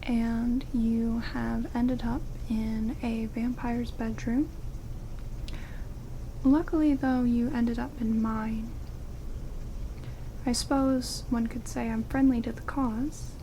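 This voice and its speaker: A woman voicing a haughty but friendly female vampire in a soft, breathy ASMR whisper, slow and drily teasing, close to binaural microphones with rain hiss behind. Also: on the high side, fairly even intonation, poor audio.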